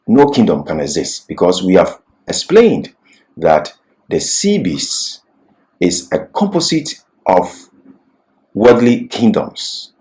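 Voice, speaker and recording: A man's voice: lowish voice, darkish tone, poor audio. A man presenting a Bible lecture, reading prepared points and explaining them emphatically, repeating key phrases. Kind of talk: scripted religious lecture with explanatory asides.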